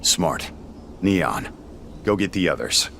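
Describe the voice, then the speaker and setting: A man playing a gruff, brooding warrior loner in a game cutscene, with a gravelly voice and a curt, guarded manner. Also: deep voice, lively intonation, slow pace, bright, forceful tone, a little hiss or hum, full character voice. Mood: terse, guarded. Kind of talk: dramatic video game cutscene acting.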